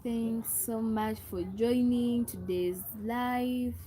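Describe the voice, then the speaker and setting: A woman's voice: on the high side, slow pace, warm tone. A young Ugandan woman with a Ugandan English accent, hosting a live stream and repeatedly greeting viewers and asking them to chat. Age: young adult. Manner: repetitive, calls out to viewers and asks for thumbs up. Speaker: livestream host.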